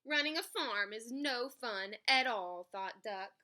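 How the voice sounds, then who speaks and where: A woman reading a story aloud for children in an expressive, emphatic way. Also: a little high, slow pace. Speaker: picture book reader.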